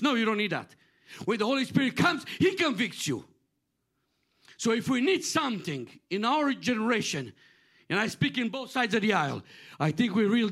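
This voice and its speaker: A middle-aged man from Romania preaching with a high-pitched voice. He speaks earnestly in a repetitive preaching cadence and often stumbles and restarts his phrases. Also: fairly bright tone. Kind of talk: sermon.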